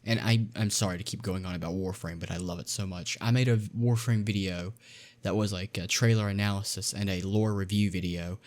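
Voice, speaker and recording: A young man chatting on a podcast in an earnest, encouraging way, hesitating with ums as he talks. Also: lowish voice. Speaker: YouTuber and podcast co-host. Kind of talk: casual chat.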